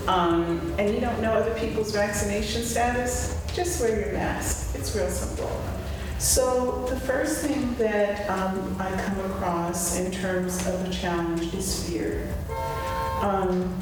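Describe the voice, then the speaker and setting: Lively woman doctor in an echoey hall. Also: mid-range voice. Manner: lively, direct, engages the room with repeated questions. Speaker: physician and panelist. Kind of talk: community panel talk. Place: large hall with an audience. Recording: echoey hall, distant mic, noisy.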